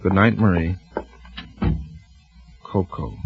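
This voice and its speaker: An actor playing a suspicious husband who also narrates the tale in a measured, brooding voice, in a 1940s radio drama. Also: male voice, deep voice, fairly even intonation, creaky, heavily acted. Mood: cool. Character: suspicious husband, grim first-person narrator. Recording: lo-fi old radio broadcast with music.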